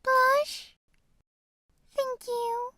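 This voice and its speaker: A shy lil girl pony, really high n soft, hesitant, gentle. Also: flat delivery, extremely slow, heavily acted. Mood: shy, grateful.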